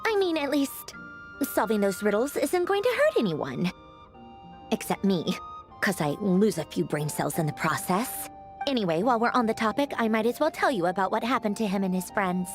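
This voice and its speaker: A haughty young woman genius from a video game, played by an actress, sardonic and offhand. Her delivery swings widely in pitch with dry theatrical flair, and music plays behind her. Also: big pitch swings, normal speed, lightly acted. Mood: offhand, irked. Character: haughty, sharp-witted young woman, a mecha-making genius. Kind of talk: scripted video game voice acting.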